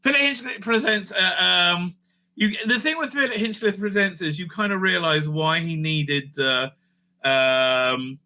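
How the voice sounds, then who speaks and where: Male review host, very bright forceful voice, slightly high, rambling and opinionated, casual livestream chat. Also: a little slow. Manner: rambling, opinionated, repeatedly tags phrases with "right?". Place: indoors at home.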